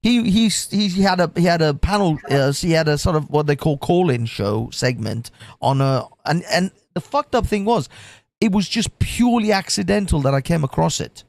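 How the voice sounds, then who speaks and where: Man, croaky, gravelly, rambling livestream host, dead dry sound. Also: a little high, expressive, normal speed, a little mellow, no echo. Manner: swearing, rambling with many restarts. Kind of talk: casual livestream chat.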